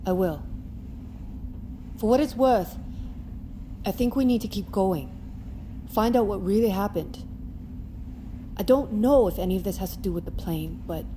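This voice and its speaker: A woman's voice: mid-range voice, lively intonation, unhurried, pauses often, dark tone, natural voice. A woman acting as a serious, protective expedition doctor in an audio drama, frank and firm in her delivery. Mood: grave, resolute. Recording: clear background noise of vehicle ambience.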